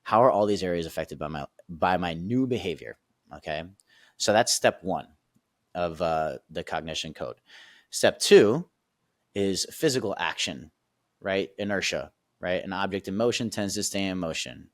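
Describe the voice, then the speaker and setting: Male performance coach, deep voice, dry close recording, lively teaching tone. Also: normal speed, no room sound. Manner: energetic, repeats "right?" and "okay?" often. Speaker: sales and performance coach, guest. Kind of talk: casual teaching explanation.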